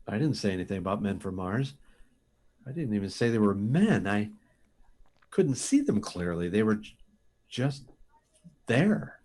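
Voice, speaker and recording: An actor playing a desperate, insistent rocket pilot in an old-time radio science fiction drama, speaking in halting, urgent bursts. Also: male voice, on the low side, sing-song, darkish tone.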